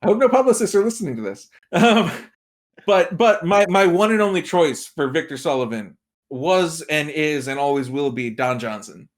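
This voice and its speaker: A man's voice: on the high side, expressive, moderate pace. A man talking casually as a podcast guest, giving film opinions and acting out imagined reactions as he tells it. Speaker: podcast guest, movie critic.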